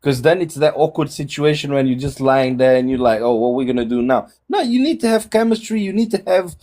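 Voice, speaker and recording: A man's voice: expressive, fairly quick, warm tone. A man in his forties from Angola, raised in Portugal, talking reflectively in a casual group chat with frequent restarts over a video call. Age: 40s. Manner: reflective, many restarts, shifting pitch. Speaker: guest on a dating discussion.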